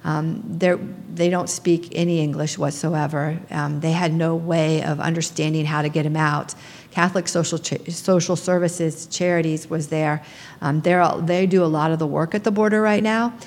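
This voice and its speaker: A woman's voice: sits low, steady tone, moderate pace, a little mellow. A woman who runs a nonprofit, talking earnestly to a gathering, with many ums and restarts. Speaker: nonprofit director. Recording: long hall reverb.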